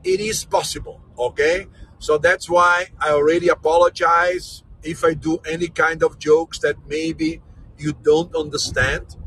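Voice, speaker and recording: Jokey, bright-voiced tour guide man. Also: on the high side, measured pace, low-quality recording. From Brazil, raised in Austria. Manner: jokey, energetic, non-native English. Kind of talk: live virtual tour, casual chat with viewers. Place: in a moving vehicle. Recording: vehicle noise in background.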